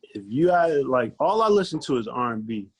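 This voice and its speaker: A man's voice: mid-range voice, very animated, moderate pace, crowd noise, rough recording. An American man chatting casually as a podcast guest, with loose, slangy phrasing that often repeats itself. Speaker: guest recording artist. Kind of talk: casual chat.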